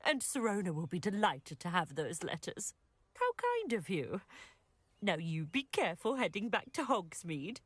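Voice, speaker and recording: A kindly village witch from a fantasy video game. Her delivery is hugely animated, effusive and motherly. The voice has a husky edge and sounds close and dry. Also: medium pitch, normal speed, dry recording. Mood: delighted, grateful. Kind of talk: scripted video game acting.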